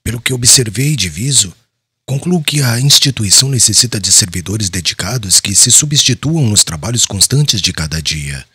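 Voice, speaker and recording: An actor playing a prudent, concerned apostle and former fisherman in an audiobook, raspy, measured and solemn. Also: lowish voice, expressive, sharp tone, dry recording, exaggerated voice. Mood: grave, concerned. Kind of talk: dramatized audiobook dialogue.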